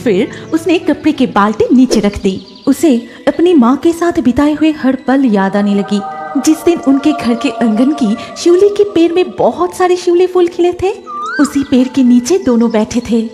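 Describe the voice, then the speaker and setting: A woman narrates a children's story in a high voice. Her delivery is scripted storytelling, with music clearly playing underneath. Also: animated, unhurried, dark tone.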